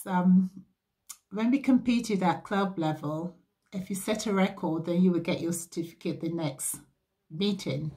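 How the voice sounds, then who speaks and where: A woman in her sixties, originally from Barbados, recalling her years as an athlete in a measured, reflective way with frequent pauses and ums. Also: lowish voice, dark tone. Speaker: former athlete sharing memories. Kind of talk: reflective personal recollection.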